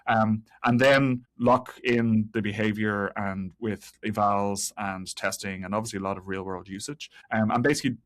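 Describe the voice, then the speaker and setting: A man being interviewed on a podcast as an engineer, explaining technical work in a halting way with repeated words and many hedges. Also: fairly low pitch. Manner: halting, hedging with frequent 'like' and 'kinda', repeated words. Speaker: guest, senior software engineer.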